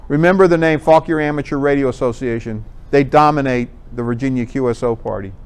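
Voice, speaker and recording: Male presenter, clean clear tone, mid-range pitch. Informal, conversational explainer addressing a group. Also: fairly quick, darkish tone. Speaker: presenter at an amateur radio club talk.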